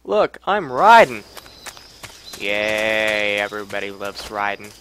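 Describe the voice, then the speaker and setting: A man's voice: fairly low pitch, big pitch swings, takes it slowly, noisy background. A man giving casual gameplay commentary in offhand, mumbled asides over a video game. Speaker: gamer recording a playthrough. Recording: game soundtrack behind the voice. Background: video game music and sound.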